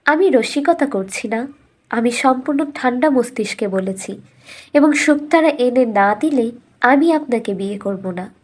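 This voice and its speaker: Woman as young fairytale princess, higher voice, bold, composed, resolute, storybook cartoon. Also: expressive, measured pace. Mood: firm, resolute. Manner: firm, resolute.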